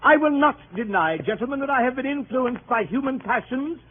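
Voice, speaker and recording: A pompous, high-voiced gentleman orator. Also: animated, brisk. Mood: grandly oratorical. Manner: pompous, orotund, hesitant speechmaking. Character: pompous, earnest, innocent gentleman club leader. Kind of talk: 1930s radio comedy acting. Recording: tinny, noisy 1930s radio broadcast recording.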